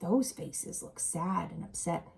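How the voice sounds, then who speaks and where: A woman reading a picture book aloud expressively to young children, pausing to ask them simple questions. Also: lowish voice, gravelly, darkish tone, slightly rough.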